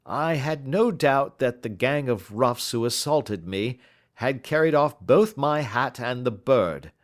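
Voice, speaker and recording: Man acting a pompous, careful older gentleman, pitched up. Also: lively intonation. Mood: rueful. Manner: slow staccato, careful word choice, pompous. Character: large, learned, down-on-his-luck older man, courteous and grateful. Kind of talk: audiobook character acting.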